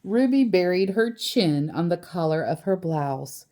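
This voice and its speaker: Expressive, unhurried woman storyteller. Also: fairly low pitch. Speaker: children's book storyteller. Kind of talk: picture book read-aloud with casual asides.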